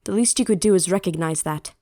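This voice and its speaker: A woman's voice: lowish voice, fast talker, dark tone, no echo, studio quality, close to an everyday voice. A woman playing a proud, determined young princess in an audio drama, firm and guarded. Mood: defiant. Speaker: voice actor.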